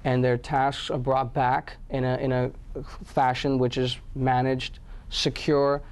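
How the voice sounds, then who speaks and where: A man's voice: medium pitch, flat delivery, slow, clear, resonant tone. A man from Pakistan answering interview questions about his company, with many fillers and restarts. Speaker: guest, company head.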